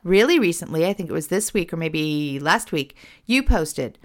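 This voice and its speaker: A middle-aged woman hosting a podcast, chatting animatedly and a little self-deprecatingly, her voice hoarse from a cold. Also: on the low side, expressive, moderate pace. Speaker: podcast host. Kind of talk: casual podcast chat.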